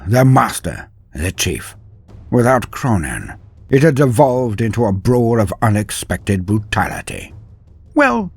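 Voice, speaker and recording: A man's voice: mid-range voice, a little mellow, breathy edge, no echo. Theatrical man narrating mock-epic tales, swooping delivery. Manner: theatrical, grandiose, wry, with archaic flowery diction. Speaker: storyteller, channel narrator. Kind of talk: mock-epic scripted narration of a comic fantasy tale.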